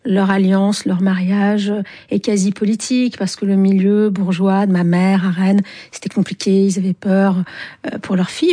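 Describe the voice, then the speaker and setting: Brief: woman in her fifties from Algeria, warm mellow tone, reflective interview guest who pauses and hesitates as she recalls. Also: mid-range voice. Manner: thoughtful, pauses often with hesitant fillers. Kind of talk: reflective personal storytelling in an interview.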